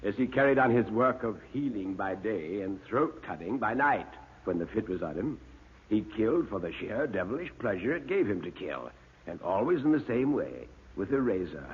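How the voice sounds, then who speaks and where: A man's voice: lowish voice, big pitch swings, husky, heavily acted. A man voicing a chatty museum manager with macabre relish and hesitant ums, in classic radio horror style. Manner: hesitant ums, drawn-out relish, chuckling asides. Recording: muffled narrow-band old radio recording.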